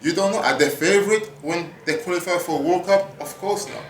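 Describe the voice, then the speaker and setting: A man in his thirties, raised in France, hosting a casual podcast monologue in an animated, hyping way with casual swearing, recorded at a gym. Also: high voice, a little fast, echoey room, a little hiss or hum. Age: 30s. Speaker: podcast host. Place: gym at work.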